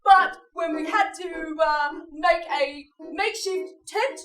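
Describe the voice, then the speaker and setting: A woman plays a chirpy young delinquent puppet with a very high, bright voice. It is over-sweet and earnest, recorded in a roomy live venue. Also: slow, faint music behind, exaggerated voice. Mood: falsely sweet. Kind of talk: improvised puppet comedy letter.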